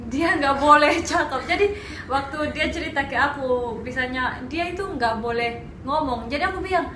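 A young woman from Indonesia hosting a casual vlog chat, animated and quick to laugh as she tells stories. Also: sits high, reverberant, slight background noise. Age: young adult. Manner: animated, laughing, playful storytelling. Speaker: vlog host. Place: small bedroom, indoors.

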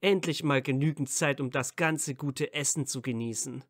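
A man's voice: mid-range voice, moderate pace, no echo, studio quality, lightly acted. A man playing a tired, teasing, easygoing young wizard student in a fan fiction audio drama, casual and playful.

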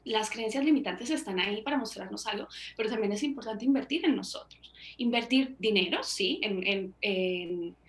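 A woman's voice: mid-range voice, moderate pace, a little hiss or hum. Earnest woman, echoey video call. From Colombia. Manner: earnest, gestures with hands while talking. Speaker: author and guest. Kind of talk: reflective interview answers. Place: small room at home. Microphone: wireless earbuds on a video call.

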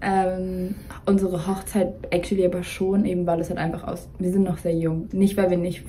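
Young female co-host, flat mellow delivery, casual rambling with English loanwords. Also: fairly low pitch, moderate pace. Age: young adult. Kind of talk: casual chat. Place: dim bedroom, indoors.

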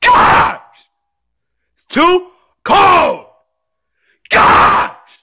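A man's voice: extremely high pitch, huge pitch swings, normal speed, pitched above the performer's own voice, exaggerated voice. Man doing a shrieky bratty little boy. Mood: whiny, upset. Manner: whiny, defiant, yelling outbursts. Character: bratty screaming young boy, rude and whiny.

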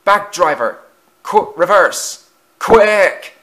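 Man as a flustered goods engine, high-pitched. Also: lively intonation, drawn-out words, pushed and bright, exaggerated voice. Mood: alarmed. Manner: urgent exclamations. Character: excitable, easily flustered male goods engine.

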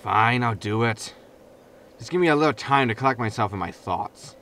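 An actor playing a grumpy, indignant disgraced spaceship captain in a sci-fi comedy audio drama, peevish and sarcastic. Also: male voice, medium pitch, lively intonation, moderate pace, a bit bright, slight background noise, close to an everyday voice. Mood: weary, exasperated.

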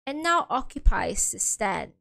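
Girl voicing snooty cold prosecutor guy, low and slow and disdainful. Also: big pitch swings, gravelly, pushed and bright, heavily acted.

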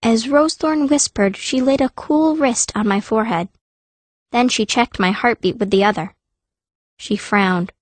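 A woman's voice: a little high, unhurried, dry recording. An actress voicing a fierce, blunt young girl mage who narrates an audiobook in the first person. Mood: narrating. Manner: blunt, youthful.

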